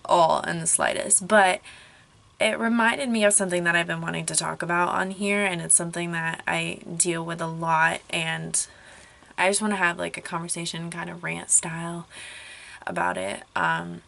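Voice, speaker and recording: A young woman talks straight into a camera propped up in a small closet, ranting in a loose, chatty way with plenty of "like" and "um". Her voice is a little hoarse and gravelly, sitting slightly low yet sounding bright and edgy. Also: steady tone, moderate pace. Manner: chatty, frequent "like" and "um", rising frustration. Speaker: vlogger. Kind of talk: casual rant to camera. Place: small closet at home, clothes all around. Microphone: camera propped up on a crib.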